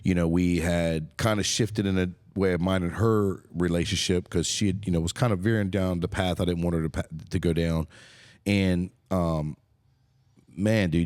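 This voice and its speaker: A middle-aged man with a very low voice hosts a podcast, talking in an enthusiastic, rambling way and dropping the odd casual swear. The sound is dry and close, as in a small quiet room. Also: no echo. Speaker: podcast host. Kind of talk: casual interview chat.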